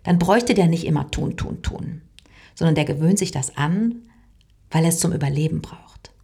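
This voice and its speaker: A middle-aged woman voice teacher explaining her work in a calm, thoughtful way, sometimes dropping into a soft whisper. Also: deep voice, normal speed, vocal fry, husky. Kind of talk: reflective explanatory monologue.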